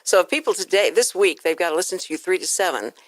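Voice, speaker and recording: A woman hosting a radio talk show in a casual, chatty interview style, excitable and given to exclaiming. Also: lowish voice, fast, no echo.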